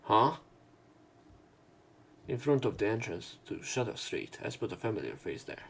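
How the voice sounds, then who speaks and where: A man's voice: fairly low pitch, very animated, moderate pace, vocal fry, slightly rough. A man hosting a let's play, reading a visual novel's narration and dialogue aloud.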